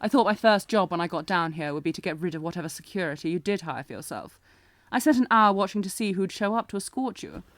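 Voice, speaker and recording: A sardonic knight bodyguard played by a woman from a fantasy audio roleplay. Her female voice sits a little low, in a dry, echo-free recording. She is taunting and commanding, fiercely protective and intense. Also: expressive, fast, lightly acted. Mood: mocking, exasperated. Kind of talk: scripted fantasy audio roleplay, acted one-sided dialogue.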